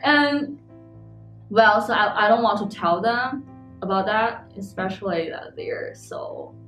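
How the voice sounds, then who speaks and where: A young woman from China, telling her story haltingly as an international student and often searching for words. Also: medium pitch, animated, a bit bright, faint music behind. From Xinjiang, China. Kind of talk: documentary interview. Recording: echoey room.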